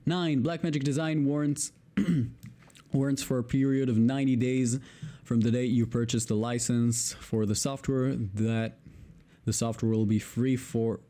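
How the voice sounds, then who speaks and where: A man's voice: mid-range voice, steady tone, a little slow, warm tone. A man with an Israeli accent, a native Hebrew speaker, reading a legal text aloud, tripping over long words and breaking off to swear in exasperation. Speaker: video creator.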